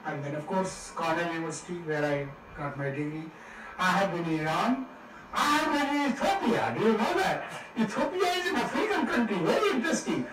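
A man's voice: sits high, animated, brisk, very noisy. An older man from India with an Indian English accent, giving a jovial guest lecture with Hindi asides and self-deprecating jokes. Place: large seminar hall. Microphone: room mic from afar. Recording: echoey hall, distant mic.

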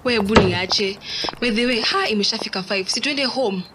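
A woman's voice: medium pitch, moderate pace, background noise, lightly acted. A woman with a Kenyan accent playing a boastful, chatty young co-worker in a radio drama. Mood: smug.

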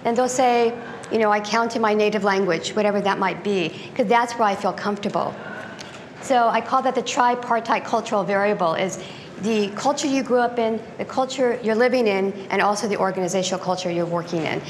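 A woman's voice: medium pitch, brisk, slight background noise. A middle-aged woman giving a lecture with slides in a conference room, talking conversationally with frequent ums and restarts. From United States. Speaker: guest speaker. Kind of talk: lecture presentation. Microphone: lectern mic, later handheld.

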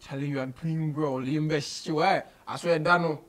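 Ghanaian man hosting, higher-pitched voice. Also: steady tone, normal speed, a crowd in the background. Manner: mixes English with local slang and phrases. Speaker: radio show host, interviewer. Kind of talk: casual studio interview. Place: small radio studio office, indoors.

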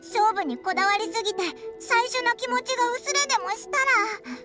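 Anime game sidekick: a tiny anxious fairy, extremely high childlike voice, exclamatory, boyish phrasing, over loud music. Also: female voice, a little fast. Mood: worried. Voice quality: squeaky, childlike. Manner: exclamatory, boyish casual speech. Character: small childlike fairy companion, excitable and anxious. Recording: music behind the voice.

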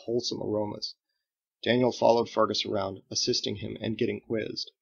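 A man narrates a story like an audiobook, in a low voice with a gravelly creak. The delivery is scripted and expressive, and the recording is slightly dull. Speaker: author reading his own book.

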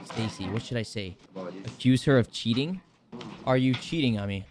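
Loud, boastful young male streamer. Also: mid-range voice, moderate pace, background noise. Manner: loud, boastful, joking with chat. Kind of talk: live-stream banter. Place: indoor home streaming room. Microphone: desk microphone on boom arm.

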